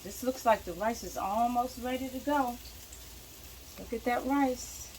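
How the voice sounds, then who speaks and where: A woman's voice: fairly high pitch, unhurried, darkish tone, a little hiss or hum, rough recording. Chatty woman cooking at the stove. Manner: chatty, talks herself through each step. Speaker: cooking vlogger. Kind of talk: casual narration while cooking. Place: home kitchen. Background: frying and sizzling in a pan.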